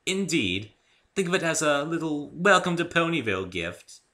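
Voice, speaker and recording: A refined, good-natured lady unicorn from a cartoon story, acted out by a man. The voice is lifted and bright. She speaks with elegant, theatrical affection. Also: fairly high pitch, big pitch swings, normal speed, voice pushed up. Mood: warm. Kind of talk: dramatic story reading.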